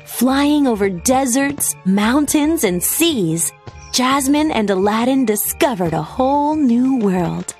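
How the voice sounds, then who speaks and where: A woman reading a children's storybook aloud as narrator, with expressive scripted storytelling delivery. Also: high voice, takes it slowly. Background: background music.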